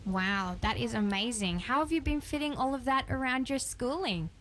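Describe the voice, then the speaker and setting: A woman's voice: fairly high pitch, normal speed, fairly bright tone, slight background noise. A woman hosting a radio interview, asking questions and enthusiastically praising the answers.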